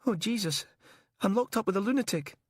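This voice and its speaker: A terrified male prisoner, voiced by a male narrator, pitched far above a normal speaking voice and swinging wildly. He pleads frantically, on the edge of sobbing, in a dark audiobook thriller. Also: expressive, fairly quick, breathy edge, dry recording, studio quality. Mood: panicked. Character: panicking male captive, frantic, sobbing for his wife.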